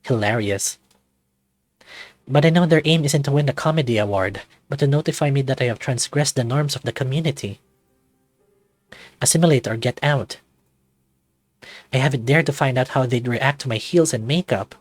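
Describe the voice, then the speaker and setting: A man's voice: medium pitch, moderate pace, long pauses, no room sound, natural voice. A man voicing an anxious, self-doubting man in his thirties, narrating a visual novel introspectively in the first person. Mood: uneasy, self-conscious. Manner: introspective, confessional, dramatic reading.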